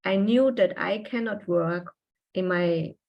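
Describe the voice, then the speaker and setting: A woman in her forties, a native German speaker talking in English as a podcast guest, reflective and halting with many pauses and fillers. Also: on the low side, vocal fry, highs cut off. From Austria and Taiwan. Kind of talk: personal interview conversation.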